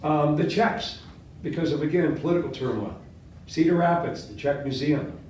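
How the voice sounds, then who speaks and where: An older man, a history professor born in Latvia with Latvian as his first language, giving a classroom lecture and quizzing his students, talking with lively gestures. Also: on the high side, normal speed, slight background noise. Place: seminar room with whiteboard. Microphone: far camera. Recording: echoey classroom from far off.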